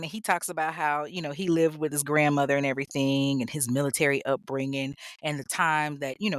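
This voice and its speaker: A woman's voice: low-pitched, fairly bright tone, dry recording. A woman hosting a true crime show, telling the story in a casual, blunt way with swearing and laughing asides. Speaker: true crime podcast host.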